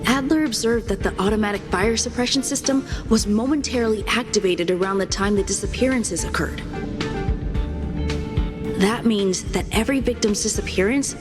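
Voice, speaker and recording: A woman playing a guarded, earnest space station official in a video game, speaking in a measured, discreet way. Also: a little high, lightly acted. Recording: background music behind the voice.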